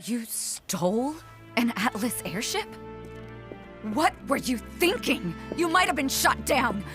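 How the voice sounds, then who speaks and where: A stern cartoon officer, young and formal. She scolds in clipped phrases with wide swings of pitch over background music. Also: sing-song, slow. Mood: scolding. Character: strict, reproachful young adult military woman. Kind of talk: animated series drama acting. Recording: music behind the voice.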